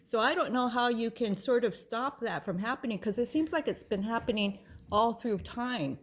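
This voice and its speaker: A woman's voice: fairly high pitch, normal speed. A woman at a community meeting, talking through local concerns in a thoughtful, questioning, conversational way. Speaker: local resident asking questions. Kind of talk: casual discussion at a town meeting.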